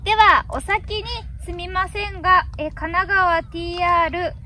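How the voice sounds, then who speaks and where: A woman's voice: very high voice, steady tone, moderate pace, bright, forceful tone, a little hiss or hum. A woman in her twenties making polite, formally worded radio contact calls outdoors. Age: 20s. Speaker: amateur radio operator. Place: outdoors on a hillside roadside.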